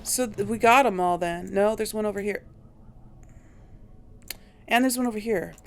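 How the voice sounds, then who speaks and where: A woman's voice: mid-range voice, moderate pace, slight background noise. A woman doing casual video game commentary, musing aloud and questioning herself as she plays. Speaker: let's play host.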